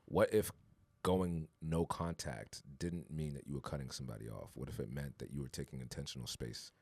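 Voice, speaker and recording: Male podcast co-host, very low voice, earnest and reflective, dry close sound. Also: steady tone, fairly quick, darkish tone, no room sound. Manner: earnest and reflective, rising to emphatic urging. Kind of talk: casual podcast conversation.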